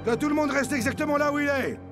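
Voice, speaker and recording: A man's voice: very high-pitched, fast talker, over music. A man playing a strict, anxious football coach in a cartoon dub, with a stern, pedantic manner. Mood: stern, suspicious. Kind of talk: animated cartoon dub acting.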